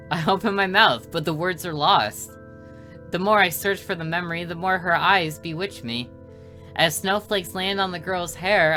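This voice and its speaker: Woman lets player reading visual novel out loud, bright crisp-edged voice, faint game music. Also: deep voice. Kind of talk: read-aloud narration with casual gaming commentary. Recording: music behind the voice.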